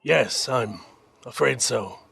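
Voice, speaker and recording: A man playing a dutiful young army captain in an audio drama, earnest and measured. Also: mid-range voice, vocal fry, a bit bright, breathy edge, exaggerated voice. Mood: calm, gentle.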